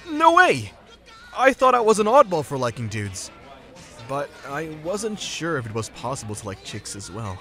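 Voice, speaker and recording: A man playing an excitable, well-meaning 1950s teenage gang boy, earnest and awkward, in a comic dub. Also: fairly high pitch, moderate pace. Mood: curious. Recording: music behind the voice.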